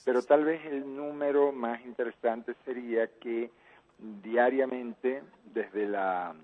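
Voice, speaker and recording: A man from Cuba, a radio producer, talking as an interview guest in a measured way, pausing often to think. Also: medium pitch, fairly even intonation. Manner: measured, thinking aloud with many pauses. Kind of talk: phone interview. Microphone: phone. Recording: tinny phone line.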